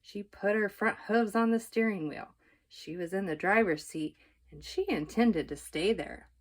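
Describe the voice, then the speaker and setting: A woman storyteller reading a children's book aloud in an expressive, measured, emphatic narrating style. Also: mid-range voice.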